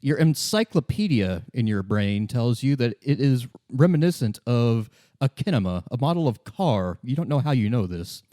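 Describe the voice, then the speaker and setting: A man's voice: mid-range voice, sing-song, normal speed, few pauses, mellow, no echo, clean studio sound. A man narrating a tabletop role-play as game master in a conversational style.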